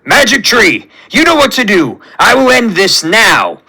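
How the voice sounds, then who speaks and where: A man voicing a boastful alien warrior who declaims loudly and bombastically in a superhero story. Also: huge pitch swings, measured pace, slightly rough, full character voice. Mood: resolute. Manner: loud, booming boasts.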